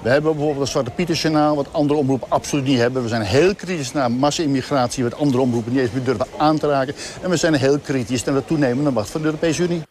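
Casting: man, medium pitch, rapid interview delivery, light background noise. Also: a little mellow. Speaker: broadcaster representative, interviewee. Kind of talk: spoken interview, listing points.

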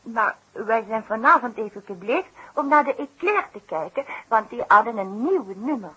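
An actress playing an excitable, outspoken circus assistant who peppers her lively talk with French interjections in a 1960s radio play. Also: on the high side, moderate pace, husky, heavily acted, poor audio. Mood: explaining.

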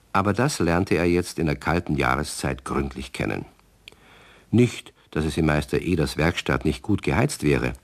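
A man narrating a children's radio play, speaking directly to the young listeners. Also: low voice.

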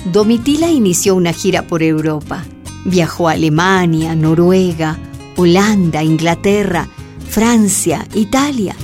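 A woman narrating a radio drama in an even, storytelling way. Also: on the low side.

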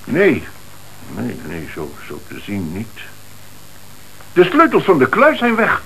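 An actor playing an agitated, earnest company director in a radio play, halting when rattled, with a Netherlands Dutch accent. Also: male voice, mid-range voice, wildly swooping pitch, gravelly, a bit bright, a little hiss or hum, heavily acted, low-quality recording. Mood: flustered, shocked.

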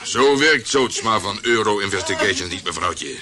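An actor playing a brusque, condescending, hot-tempered private detective in a radio thriller. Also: male voice, on the low side, expressive, moderate pace, a crowd in the background, close to an everyday voice. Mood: cool, condescending. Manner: gruff, patronizing, exasperated outbursts.

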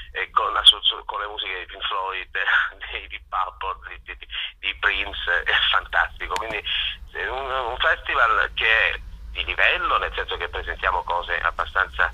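A man's voice: deep voice, pushed and bright, slightly rough, rough recording. A man speaking as a festival director in an informal interview, effusive and often correcting himself. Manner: enthusiastic, effusive, hesitant with frequent self-corrections.

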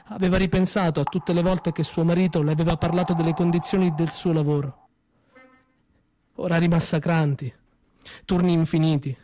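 A man performing a theatrical narrative monologue with expressive, deliberate phrasing and pauses. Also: fairly high pitch. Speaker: storyteller-actor.

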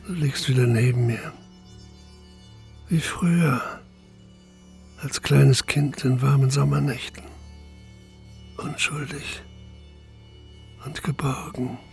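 An actor playing a grieving, troubled middle-aged father in a naturalistic radio drama, speaking in a halting, subdued way. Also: mid-range voice, steady tone, creaky, darkish tone, soft background music. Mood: tender relief.

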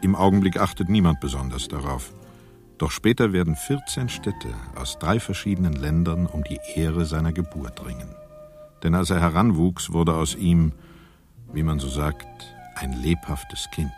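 A man narrating a radio play as a scripted storyteller, measured and wry. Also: very deep voice. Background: music.

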